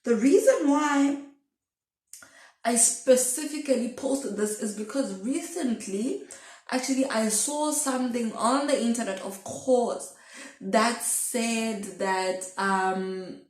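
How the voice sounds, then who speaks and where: A woman's voice: fairly high pitch, slow, a little mellow. Young woman vlogging in echoey room. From Zimbabwe. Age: twenties. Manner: rambling, thinking aloud, self-questioning. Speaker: video blogger. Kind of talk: casual confessional chat to camera.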